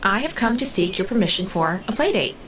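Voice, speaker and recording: A woman acts out an otherworldly female being, childlike and curious. Her mid-pitched voice is inquisitive yet stiffly formal. Faint ambience runs behind the audio drama scene. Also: lively intonation, brisk, fairly bright tone, slight background noise, lightly acted. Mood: eager, formal. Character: curious, innocent, childlike powerful female being learning humanity.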